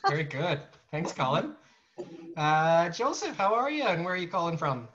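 A man's voice: a little high, big pitch swings, normal speed, a bit bright, slight background noise. A man hosting a casual group conversation over a video call. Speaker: host. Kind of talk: casual chat. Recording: dull video call audio.

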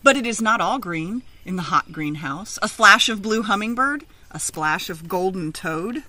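A middle-aged woman reads a children's picture book aloud outdoors, with expressive, emphatic, sing-song phrasing. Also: mid-range voice, moderate pace. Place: outdoors in a leafy garden.

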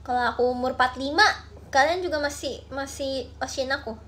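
Woman, 20s, high voice, playful livestream chatter into a phone, faint background hiss. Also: fairly even intonation, a little fast. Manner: playful, musing, drifts into soft murmurs. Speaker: idol livestreamer. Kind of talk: casual late-night livestream chat. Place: small bedroom at night. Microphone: phone at arm's length.

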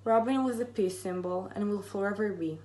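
Medium-pitched teenage female student. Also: brisk, a little mellow. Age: teens. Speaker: high school student. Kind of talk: prepared personal reflection speech. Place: indoors in a bright home room.

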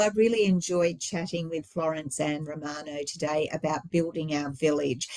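A woman in her sixties hosts a podcast from home over a webcam, and her voice is a little scratchy and worn today. She speaks in a lowish register, and the sound is dry and close with no room echo. She chats casually and fills gaps with "um" and "you know.". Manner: warm encouraging wrap-up, many fillers. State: slightly scratchy, tired voice. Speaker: podcast host. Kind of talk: casual interview chat. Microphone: video call webcam.

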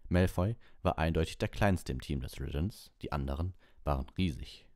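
Deep-voiced man narrating an audiobook. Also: moderate pace, darkish tone, no echo, studio quality. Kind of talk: scripted story narration.

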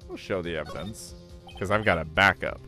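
A man's voice: sits low, a little fast, bright, forceful tone, soft background music. A man giving casual aside commentary during a voiced game playthrough. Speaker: gamer voicing characters in a playthrough.

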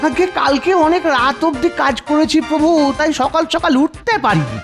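Someone doing a high pompous greedy god's clerk guy, cartoon style, pitch all over, music behind. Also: expressive, a little fast. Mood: flustered fawning. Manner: scolding to underlings, fawning to his lord. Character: pompous, greedy male celestial bookkeeper of sins. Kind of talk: cartoon voice acting.

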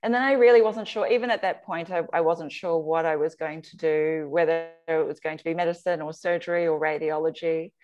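A woman, a surgeon giving an informal slide talk over a video call in conversational, halting phrases. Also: deep voice, fairly even intonation, darkish tone, dull audio. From Samoa. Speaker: surgeon, guest speaker. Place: video call, remote webinar.

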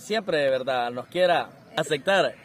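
A man's voice: a little high, animated, a little slow. A man with a Salvadoran accent chatting casually as a travel vlog host, talking to viewers and companions. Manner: informal, addressing viewers and companions. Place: roadside stop and restaurant, on location. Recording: loud music, busy crowd and vehicle noise.

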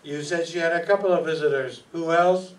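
A wry private eye from a noir radio play, acted by an older man. The voice sits somewhat high for a man, narrating and questioning in hardboiled style. It is picked up from a distance with faint noise. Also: steady tone, brisk, lightly acted. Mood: dry, businesslike. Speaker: amateur radio play actor. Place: small hall stage, indoors. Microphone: stand microphone, recorded by far camera. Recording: noisy, distant hall PA recording.

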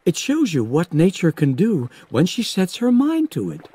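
A man voicing an eager, excitable young male marine scientist in an audiobook reading. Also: sits high, moderate pace, breathy edge, no room sound, voice pushed up, exaggerated voice. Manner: eager, enthusiastic. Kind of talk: audiobook character voice.

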